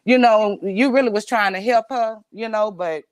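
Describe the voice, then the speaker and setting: A woman's voice: mid-range voice, normal speed, a loud crowd. A woman in her thirties chatting casually on a livestream, with sassy, playful, slangy banter. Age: 30s. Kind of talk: casual gossipy chat.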